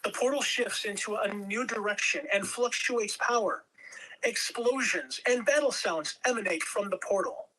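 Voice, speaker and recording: A woman's voice: medium pitch, normal speed, a bit bright, poor audio. A woman reading scripted narration at a table read, telling the action in a dramatic storytelling way. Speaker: script reader.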